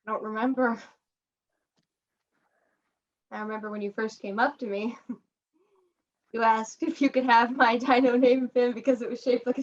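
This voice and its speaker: A young woman playing a kindly older museum janitor in a student stage play, chatty and rough-edged, with a folksy, halting way of talking. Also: a little high, highs cut off, natural voice. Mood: fond, reminiscing. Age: young adult. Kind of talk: student one-act stage play acting.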